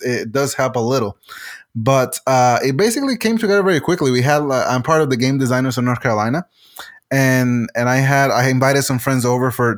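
A man from Puerto Rico, a native Spanish speaker, answering interview questions as a game designer with many restarts and fillers. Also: medium pitch.

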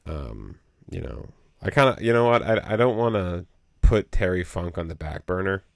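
A man's voice: low voice, lively intonation, normal speed, vocal fry, no echo. A man co-hosting a casual podcast in a small studio, sounding a little nasal and rambling with lots of fillers. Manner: rambling, full of you know and um. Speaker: podcast co-host.

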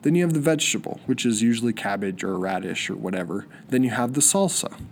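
A man's voice: medium pitch, animated, quick pace, a little hiss or hum. A young man hosting a solo podcast, sniffly with a cold, rambling eagerly with many restarts. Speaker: podcast host. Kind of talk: solo casual podcast monologue.

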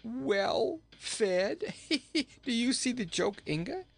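A man's voice: sits high, animated, a little slow, gravelly, fairly bright tone, pitched above the performer's own voice, heavily acted. A man voicing a plump, jolly, pun-loving king in a theatrical storybook manner. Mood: merry. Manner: theatrical, exclamatory, chuckling at own jokes.

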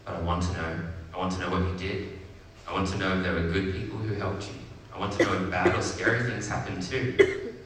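A man voicing a big parent rabbit from a storybook, soft, tender, patient and apologetic. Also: deep voice, flat delivery, brisk. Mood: loving, reassuring. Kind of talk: storybook read aloud. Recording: echoey hall, distant mic, faint noise.

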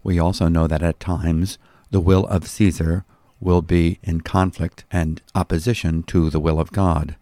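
A man reading a scripted devotional Bible commentary as a pastor, with a measured, teaching delivery. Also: very deep voice, darkish tone, no room sound.

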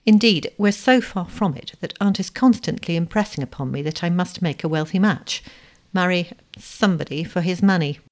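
A woman's voice: fairly low pitch, normal speed, natural voice. A woman voicing a spirited, rebellious young woman in a story, frank and insistent. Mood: rebellious confiding.

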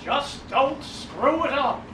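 A crusty old millionaire collector in a radio play. His voice is high and slow, with sharp, peremptory delivery and noise behind it. He is blunt and has no patience. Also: animated, heavily acted. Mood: brusque. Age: older. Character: crusty, wealthy old male art collector, brusque and impatient. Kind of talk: audio drama acting.

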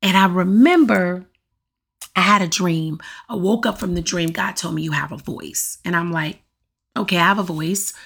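A middle-aged woman hosts her own podcast, speaking straight to her listeners in a motivational monologue. Her voice has a gravelly, raspy edge, and she drives her points home by repeating questions with emphasis. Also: on the low side, moderate pace, a bit bright. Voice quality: raspy at times. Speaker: podcast host.

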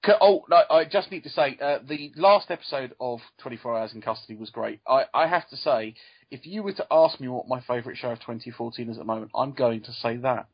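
Man, husky, jokey podcast host, muffled lo-fi audio. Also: mid-range voice, quick pace. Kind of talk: casual chat. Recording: dull lo-fi sound.

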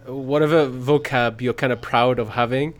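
A man's voice: mid-range voice, steady tone, normal speed. A man from the UK, chatting thoughtfully and easily on a podcast. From United Kingdom. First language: English. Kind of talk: casual podcast chat.

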